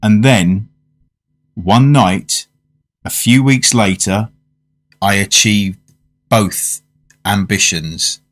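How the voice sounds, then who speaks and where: An actor playing a polite, curious gentleman diner in a radio drama, narrating and conversing in a measured, formal way. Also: on the low side. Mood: reflective. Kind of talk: radio drama acting and first-person narration.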